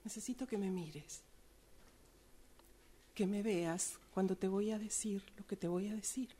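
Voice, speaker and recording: A woman playing an anxious but determined pregnant schoolgirl in an improvised radio drama, with an Argentine accent and a hesitant, breathy delivery. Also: mid-range voice, fairly even intonation, dark tone. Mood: nervous, hesitant. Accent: Argentine Spanish. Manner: hesitant, halting.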